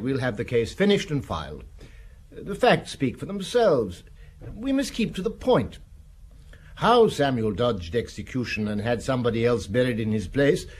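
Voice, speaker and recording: An actor in a British radio drama playing a curt, self-assured French official who speaks with formal, assertive courtesy. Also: male voice, mid-range voice, darkish tone, slightly rough.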